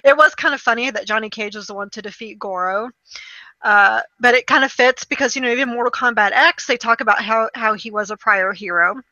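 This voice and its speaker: A woman with a slightly high, very bright and forceful voice talks casually as a co-host. She is conversational and opinionated, and the recording is dry and close. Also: fairly quick, no echo, muffled recording. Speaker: podcast co-host. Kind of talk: casual chat and commentary.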